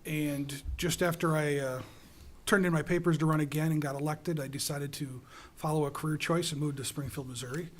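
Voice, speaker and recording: Conversational man, a bit higher-pitched. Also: steady tone, fairly quick. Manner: loose and conversational, with many ums. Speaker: school board candidate, accountant. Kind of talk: candidate forum speech.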